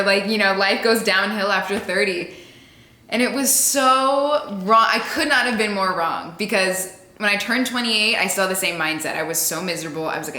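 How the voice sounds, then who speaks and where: A woman's voice: medium pitch, bright, forceful tone, roomy sound. A woman in her late twenties chatting casually and upbeat in an interview, laughing readily. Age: 20s. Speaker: interviewee, radio and social media personality. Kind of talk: casual conversation.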